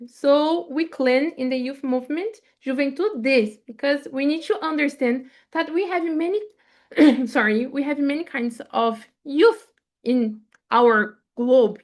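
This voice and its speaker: A woman whose first language is Portuguese, moderating an online webinar over a video call in an enthusiastic, deliberate way. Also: high-pitched.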